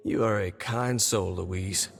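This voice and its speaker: An actor playing a kind, easygoing postman in a video game, talking in a gentle, chatty, coaxing way. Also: fairly low pitch, slow, vocal fry. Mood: gentle, caring. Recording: background music under the voice.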